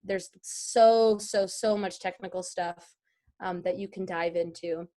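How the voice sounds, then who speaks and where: A woman's voice: on the low side, fairly even intonation, unhurried, dark tone. A woman voice-over artist teaching a class in a conversational online tutorial, chatty and enthusiastic as she explains.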